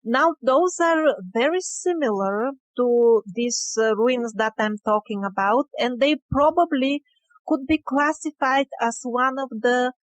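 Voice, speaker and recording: A woman's voice: high-pitched, a little slow, no echo, rough recording. A woman interview guest explaining her field findings conversationally, with emphatic but hesitant phrasing. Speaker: interview guest, independent researcher.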